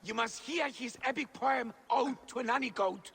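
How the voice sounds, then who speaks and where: Jeering heckler for a historical game: very high, piercing, sarcastic, in a reverberant space. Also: male voice, normal speed, fairly bright tone, breathy edge, full character voice. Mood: mocking. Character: mocking male street heckler jeering at a poet. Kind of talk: video game crowd acting.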